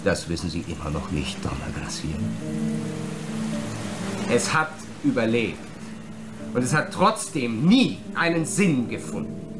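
An actor playing a menacing, brooding film director in a radio drama, an abandoned son who accuses with insistent rhetorical questions. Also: male voice, mid-range voice, sing-song, a little slow, pauses often, music in the background, rough recording. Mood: bitter, resolved.

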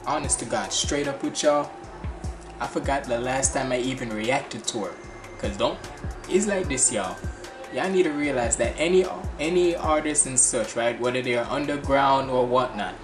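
A young man in his twenties with a Jamaican accent, chatting fast and loosely with lots of slang and restarts as he reacts to music. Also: medium pitch. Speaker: reaction channel host. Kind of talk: casual music reaction commentary. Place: small bedroom indoors. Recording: echoey room with music playing.

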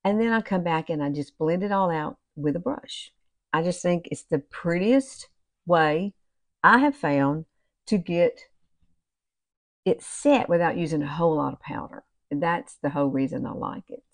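A woman in her fifties with a Southern US accent and a fairly low voice talks through a casual tutorial. She is chatty and folksy, addressing viewers like girlfriends. The sound is dry and close, recorded in a small room at home. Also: dry recording. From southern United States. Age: 50s. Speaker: beauty vlogger.

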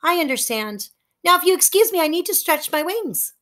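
A tiny, sweet-natured fairy girl, voiced by a woman reading a story, chirping along in a high, bubbly voice. She sounds eager and kind, every phrase lifting brightly. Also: sing-song, brisk, exaggerated voice. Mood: cheerful.

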